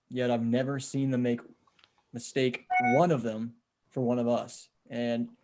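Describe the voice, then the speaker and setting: A man's voice: medium pitch, dark tone, natural voice. A man playing a determined, level-headed zombie survivor. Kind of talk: table read of a comic book script. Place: remote video call table read.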